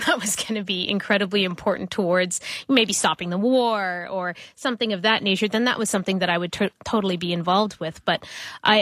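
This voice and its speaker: A woman with a Canadian accent speaks as a guest in a radio studio, her voice close and dry. She answers thoughtfully, pausing on fillers and restarting her sentences as she works out what to say. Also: mid-range voice, a bit bright, dry recording. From Toronto, Canada. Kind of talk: interview answers.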